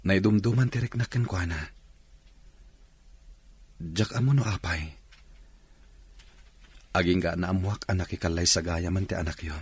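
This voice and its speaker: An actor playing an earnest, respectful young man and devoted suitor in a radio drama, with a husky voice. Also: low-pitched, fairly even intonation, unhurried, long pauses, dry recording, full character voice.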